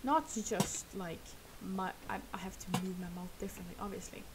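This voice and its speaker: A woman's voice: mid-range voice, moderate pace. Young Swedish woman with a sore throat. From Sweden. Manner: rambling, soft, laughs often. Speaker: streamer. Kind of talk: casual chat while gaming. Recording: loud noise behind the voice.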